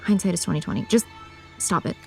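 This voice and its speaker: A woman's voice: fairly low pitch, lively intonation, quick pace, vocal fry, soft background music. A woman playing a sardonic young woman who sees monsters, conversational and exasperated, in a horror audio drama. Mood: wry. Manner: sarcastic, conversational.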